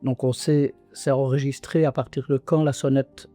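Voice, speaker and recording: A man's voice: medium pitch, level tone, moderate pace, warm tone, soft background music. A man in his sixties, born in Congo, giving reflective conversational testimony in a podcast interview, speaking earnestly with many restarts and fillers. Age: 60s.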